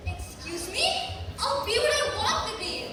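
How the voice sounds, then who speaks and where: A teenage girl acting a sharp-tongued young woman who wants to be a doctor, teasing and defiant on a school stage. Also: extremely high pitch, sing-song, measured pace, full character voice. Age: teens. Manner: teasing and defiant, draws out words. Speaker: student actor. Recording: echoey hall, far from the mic, noisy.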